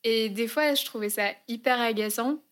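A woman's voice: on the high side, moderate pace, fairly bright tone. A young woman from France, co-hosting a podcast in casual chat, hesitant and thinking aloud with lots of fillers. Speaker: podcast co-host.

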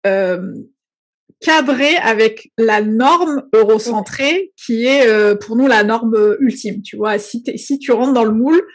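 Reflective Algerian-born woman, higher voice. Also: a little slow. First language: not French. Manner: reflective, filler-heavy, often says "tu vois". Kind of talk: casual conversation.

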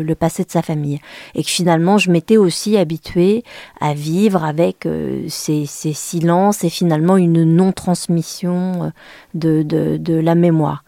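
A woman in her forties from France, a journalist guest speaking thoughtfully with many pauses, fillers and restarts. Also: on the low side, dark tone, no echo, studio quality. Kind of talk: reflective interview talk.

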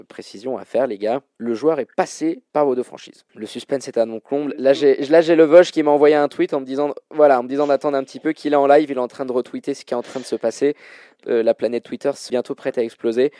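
A man's voice: mid-range voice, fast talker, dark tone, no echo, studio quality. A man hosting a basketball trivia quiz with casual, slangy banter. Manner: informal, colloquial slang. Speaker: quiz show host.

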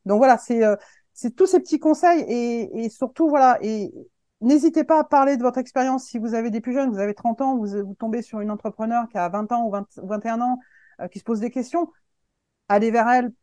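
A woman in her fifties, a guest on a podcast, speaking in a casual, earnest and encouraging way, halting often to restart her sentences. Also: fairly high pitch, dark tone, no room sound. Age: 50s. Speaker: guest, web agency founder.

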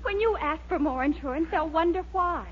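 A woman's voice: very high-pitched, lively intonation, brisk. An actress playing an eager, scheming young girlfriend in a 1940s radio comedy, gushing and persuasive, with an American accent. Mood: insistent, scheming. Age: young adult. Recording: muffled old radio recording, faint hiss.

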